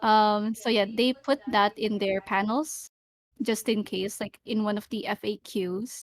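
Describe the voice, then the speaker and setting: A woman's voice: medium pitch, steady tone, measured pace. A young woman from the Philippines with a light Filipino accent, co-hosting a casual podcast and talking chattily with many fillers. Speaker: podcast co-host. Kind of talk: casual chat.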